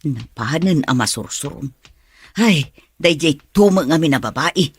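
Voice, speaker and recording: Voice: medium pitch, lively intonation, moderate pace, a little hiss or hum, lightly acted. A performer playing a sulky, complaining companion in a radio drama.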